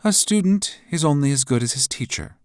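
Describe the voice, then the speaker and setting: A man's voice: a little high, lively intonation, a little fast, dry recording, clean studio sound, voice pushed up, natural voice. A man voicing a cold, mocking woman official who speaks coolly and sharply. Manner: cool, sharp, condescending.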